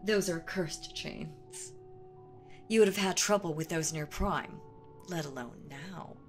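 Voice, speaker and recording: Slow, commanding demon queen villainess, played by a woman. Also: low voice, soft background music. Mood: gloating, triumphant. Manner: theatrical, commanding, slow and deliberate with teasing menace. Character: seductive, domineering demon queen villainess, reborn and gloating. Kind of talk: dramatic audio roleplay addressed to the listener.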